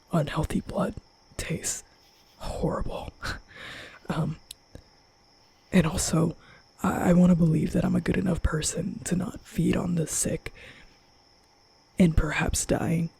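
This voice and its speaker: Man acting as a nervous young male vampire, very breathy half-whisper, slow halting pace, awkward, kind-hearted, ASMR roleplay. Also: on the high side, level tone, heavily acted, rough recording. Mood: awkward, apologetic. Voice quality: soft, breathy, often whispering. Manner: hesitant, stammering, flustered.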